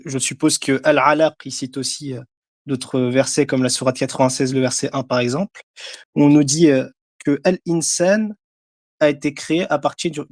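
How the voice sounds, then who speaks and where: Man, mid-pitched voice, debater, hesitant, many fillers, recites verses. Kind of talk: debate rebuttal.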